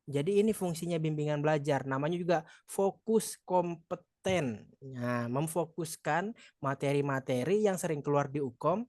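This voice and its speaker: A man's voice: on the high side, normal speed, a little mellow, no room sound, studio quality. Chatty Madurese man tutoring online. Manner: chatty and encouraging, joking with participants. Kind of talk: live online exam practice session.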